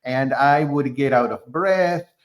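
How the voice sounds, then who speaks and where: Halting man from Argentina, many pauses. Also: medium pitch, poor audio. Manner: halting, many fillers and restarts. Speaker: guest, concert pianist. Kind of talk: conversational interview.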